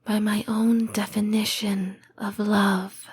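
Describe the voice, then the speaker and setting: A woman voicing a tender, patient lamia girlfriend in an intimate comfort roleplay, breathy and whispering, with measured, formal phrasing. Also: medium pitch, level tone. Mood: tender, reflective. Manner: slow, measured, formal phrasing with deliberate pauses. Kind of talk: intimate comfort roleplay audio, scripted monologue.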